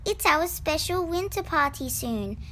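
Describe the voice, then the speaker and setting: A woman's voice: sits high, expressive, unhurried, pushed and bright, slight background noise, full character voice. A woman voicing an anxious, easily upset male storybook bear in a worried, plaintive manner. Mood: eager.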